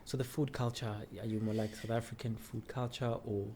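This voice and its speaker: A man's voice: mid-range voice, level tone, brisk, dark tone, slightly rough. A male interviewer who asks casual questions and comes back with short, agreeing replies. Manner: brief agreeing replies, laughs along.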